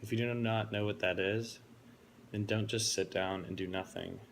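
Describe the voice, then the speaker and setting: A college-age man from Florida giving a prepared, earnest introduction speech in a steady, reflective way. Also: fairly low pitch, normal speed, creaky, a little hiss or hum. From Florida, United States. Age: 20s.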